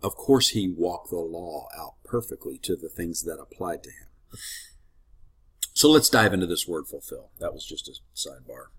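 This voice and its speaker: A man's voice: low voice, lively intonation, normal speed, a bit bright, husky. A man leading a casual Bible teaching, slightly congested and sniffling, in a friendly, conversational way with rambling asides. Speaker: ministry teacher.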